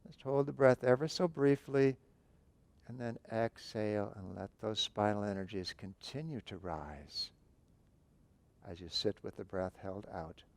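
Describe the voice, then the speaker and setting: Slow, gravelly-voiced male meditation guide. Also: fairly low pitch. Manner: slow, calm, softly spoken instructions with long pauses. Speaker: meditation teacher.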